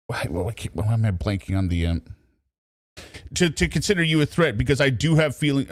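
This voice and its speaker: A man's voice: medium pitch, no room sound, studio quality. A man giving casual reaction commentary in an exasperated, mocking way, often paraphrasing other people's words. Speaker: true crime commentator. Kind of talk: casual reaction commentary over a played phone call.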